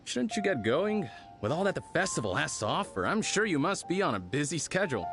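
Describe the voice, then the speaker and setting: An actor playing a friendly, patient, easygoing craftsman in a video game, encouraging, with thoughtful pauses. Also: fairly high pitch, very animated. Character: friendly, patient male festival lantern craftsman. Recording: music behind the voice.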